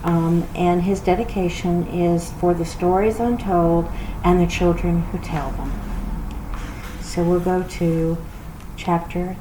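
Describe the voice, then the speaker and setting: A woman giving an expressive storybook read-aloud, like a children's library reader. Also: fairly low pitch, slow pace. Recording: roomy echo with clear background noise.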